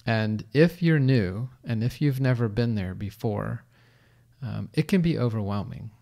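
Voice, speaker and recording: A middle-aged man co-hosting a podcast in casual chat, earnest and halting, often restarting his sentences. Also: mid-range voice, lively intonation, gravelly, mellow. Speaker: podcast co-host.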